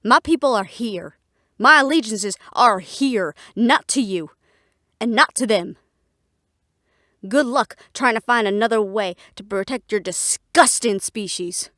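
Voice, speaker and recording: A woman's voice: on the high side, animated, measured pace, fairly bright tone, dry recording, clean studio sound. A woman playing a defiant, fiercely independent half-fae daughter, blunt and sarcastic, in a fantasy audio drama. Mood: cold anger. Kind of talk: fantasy audio roleplay acting.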